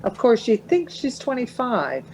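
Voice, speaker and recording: A woman's voice: fairly high pitch, sing-song, measured pace, a little mellow, slight background noise. An actress playing a wry, anxious middle-aged mother of teenagers in a radio play. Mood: wry, weary.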